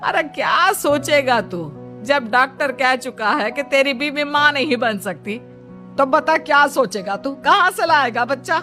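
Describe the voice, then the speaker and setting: A woman's voice: high-pitched, expressive, unhurried, pushed and bright, soft background music, voice pushed up, heavily acted. A woman voicing a domineering, insistent older mother-in-law in a dramatic story. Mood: angry, scolding.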